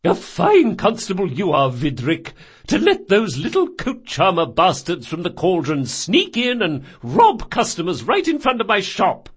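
A man's voice: high voice, huge pitch swings, unhurried, slightly rough, pitched above the performer's own voice, full character voice. A man voicing a bossy, fierce old grandmother shopkeeper in an audiobook, emphatic and commanding with a strong carrying voice. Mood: furious, commanding.

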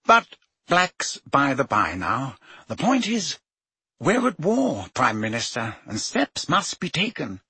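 A man's voice: mid-range voice, wildly swooping pitch, slow pace, sharp tone, husky, no room sound, full character voice. A man voicing an anxious, flustered male wizard minister who talks in agitated, defensive bursts. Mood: urgent.

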